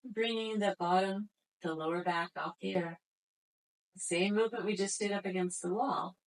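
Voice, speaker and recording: A woman guiding a floor exercise class as instructor, giving slow, gentle, soothing cues. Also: mid-range voice, low-quality recording. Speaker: yoga instructor.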